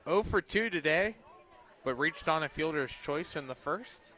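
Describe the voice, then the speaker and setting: A young man doing live radio play-by-play of a softball game as the announcer. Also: fairly high pitch, expressive, measured pace. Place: outdoors at a softball field. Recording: outdoor ballpark noise, crowd behind. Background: crowd and outdoor ambient noise.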